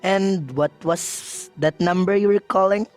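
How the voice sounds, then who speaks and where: Unhurried, dismissive police sergeant played by a man. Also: high voice, soft background music. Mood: routine, patient. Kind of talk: radio drama acting.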